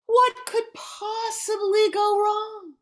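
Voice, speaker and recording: A woman's voice: very high-pitched, level tone, slow pace. A woman narrating a scripted radio play in a dramatic storytelling manner. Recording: faint background noise, clicks.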